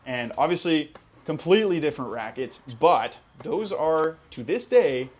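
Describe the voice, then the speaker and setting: A man's voice: fairly high pitch, expressive, a little slow, darkish tone. A young man with an American accent, hosting a casual Q&A video and talking in a chatty, enthusiastic way with casual fillers. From Hawaii. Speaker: tennis channel host. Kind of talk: casual Q&A vlog.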